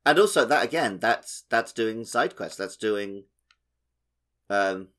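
Low-pitched man with lively intonation. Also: slow. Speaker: podcast co-host. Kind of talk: casual video game discussion.

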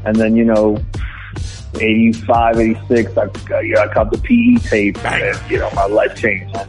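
A man in his forties from Argentina speaks in a low, relaxed voice as a radio guest. His answers wander easily, and he keeps calling the host brother. Quiet hip hop plays faintly behind him. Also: normal speed, soft background music. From Argentina, raised in Miami. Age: 40s. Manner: laid-back, rambling, calls people brother. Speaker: guest rapper and producer. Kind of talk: casual interview over phone. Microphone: phone line.

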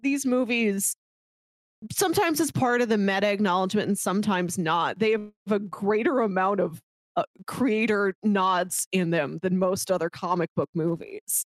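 A woman's voice: medium pitch, moderate pace, no echo. A woman co-hosting a podcast in casual, conversational discussion. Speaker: podcast co-host.

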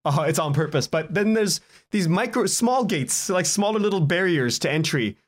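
A man speaking as a podcast guest in casual interview chat, informal and halting as he thinks aloud. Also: sits high, expressive.

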